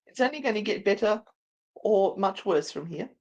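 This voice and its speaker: A woman's voice: mid-range voice, lively intonation, normal speed, darkish tone, highs cut off. An Australian woman co-hosting a live show in casual, easily sidetracked, giggly banter. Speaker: co-host of a paranormal live show.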